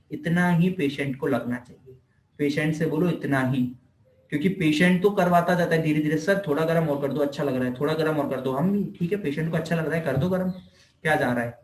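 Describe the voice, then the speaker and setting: High-pitched Indian man lecturing, echoey room. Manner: conversational, repeats points, asks rhetorical questions; mixes in English technical terms. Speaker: physiotherapy lecturer. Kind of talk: informal explanatory lecture.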